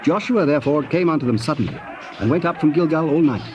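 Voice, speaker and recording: A man narrating a scripted, dramatized scripture reading in a solemn, measured manner. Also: a little high, lively intonation. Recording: muffled old cassette, faint noise.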